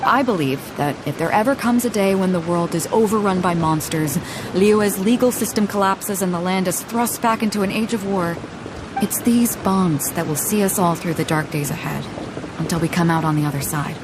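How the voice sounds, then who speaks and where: A woman's voice: lowish voice, normal speed, background noise, close to an everyday voice. An actress voicing a confident, laid-back female ship captain in a game cutscene, laughing easily. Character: bold, hearty female ship captain, easygoing. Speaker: game character. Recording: background game music under the voice.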